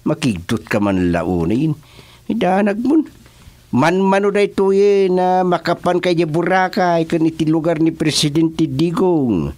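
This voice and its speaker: A male actor with an Ilocano accent playing an excitable, joking village senior in a rural comedy radio drama. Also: on the high side, unhurried. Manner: teasing, animated, colloquial.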